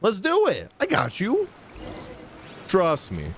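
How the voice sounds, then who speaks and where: A woman's voice: mid-range voice, wildly swooping pitch, slow, background noise. A woman giving casual, slangy, joking commentary as she streams a video game.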